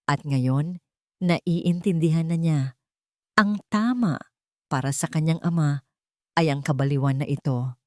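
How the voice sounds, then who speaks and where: A woman with a fairly low voice narrates a romantic drama. She delivers it in measured, suspenseful phrases like an audio storybook. The sound is dry, with hardly any room in it. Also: no echo.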